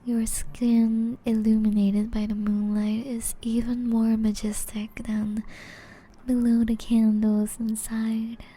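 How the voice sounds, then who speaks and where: Woman acting a slow, dark-toned, breathy seductive vampire lady. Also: fairly high pitch, level tone, rough recording. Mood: enraptured, intimate. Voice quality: breathy, half-whispered. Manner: slow, teasing, elegant formal phrasing. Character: seductive aristocratic female vampire, flirty and predatory. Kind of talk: intimate ASMR roleplay.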